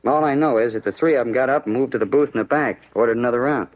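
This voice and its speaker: An American actor playing a talkative, eager bar owner full of street slang in a 1950s radio crime drama. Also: male voice, mid-range voice, racing, dull audio, close to an everyday voice. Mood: confiding.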